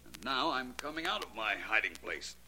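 An American actor playing a shrewd, self-assured gentleman detective in a 1940s radio mystery, suave, deliberate and theatrical. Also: mid-range voice, sing-song, a little hiss or hum, heavily acted, rough recording. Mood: confident, theatrical.

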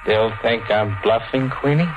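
An actor playing a cold, vengeful killer in a 1940s radio thriller, speaking with deliberate menace. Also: male voice, fairly low pitch, dull audio, lightly acted. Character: cold, vengeful jilted ex-vaudeville performer bent on murder. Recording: old radio recording with background music.

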